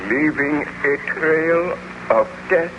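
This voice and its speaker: An actor playing a grim, vengeful monster-maker in an earnest, melodramatic 1930s radio drama style. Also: male voice, on the high side, slow, highs cut off. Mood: grave, urgent. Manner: earnest, melodramatic, formal diction. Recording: noisy 1930s radio recording.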